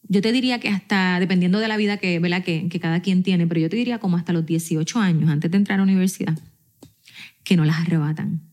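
Animated Puerto Rican woman in her forties. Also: lowish voice, fast talker. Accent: Puerto Rican Spanish. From Puerto Rico. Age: 40s. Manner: animated, emphatic, motivational. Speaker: podcast guest. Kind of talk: casual interview chat.

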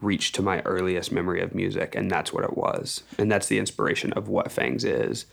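A man's voice: sits low, steady tone, fairly quick, gravelly, a bit bright, slightly rough. A young American man from Texas, telling stories casually and informally as a musician guest, rambling and stressing key words. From Texas, United States. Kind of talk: casual storytelling in an interview.

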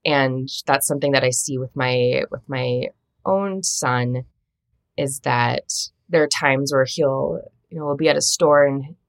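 A woman from Minnesota whose first language is Latvian talks in a low voice with a gravelly, creaky edge. She tells stories in an easygoing, reflective way, as an interview guest. The tone is conversational and unhurried. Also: no room sound. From Minnesota, United States. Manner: reflective, storytelling, warm laughs. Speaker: translator and editor, interview guest.

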